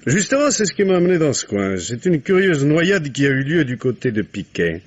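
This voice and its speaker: An actor playing a friendly, nosy reporter with a chatty, coaxing manner in a 1950s-style radio drama. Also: male voice, on the high side, big pitch swings, brisk, highs cut off. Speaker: journalist.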